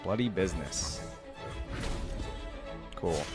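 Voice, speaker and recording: A man's voice: sits low, lively intonation, slow pace, loud music behind the voice. A man hosting a casual reaction video, talking enthusiastically and rambling with many fillers.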